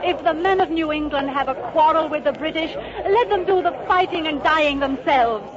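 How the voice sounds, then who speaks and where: Actress as high-voiced, bitter colonial widow. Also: female voice, moderate pace, natural voice. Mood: bitter, grieving. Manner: blunt, impassioned. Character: bitter, grieving colonial war widow, plain-spoken. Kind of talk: 1940s radio drama acting. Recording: muffled vintage radio, crowd outdoors.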